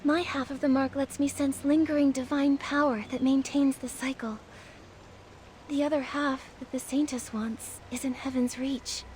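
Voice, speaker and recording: A woman playing a gentle, earnest young game heroine, speaking softly and thoughtfully. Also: high-pitched, fairly even intonation, moderate pace, a little hiss or hum, close to an everyday voice. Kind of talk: game cutscene acting.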